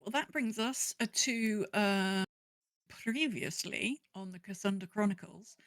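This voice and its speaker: A woman's voice: mid-range voice, a little slow, no echo. A woman co-hosting a casual gaming podcast in an informal, chatty, slangy way. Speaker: podcast co-host.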